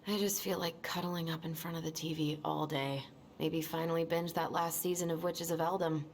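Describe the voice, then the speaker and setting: A woman's voice: sits low, fairly even intonation, normal speed, slight background noise. A woman playing an anxious, weary young American woman in a video game, speaking in a hesitant, subdued way. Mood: tired, grateful. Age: young adult. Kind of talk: naturalistic video game dialogue.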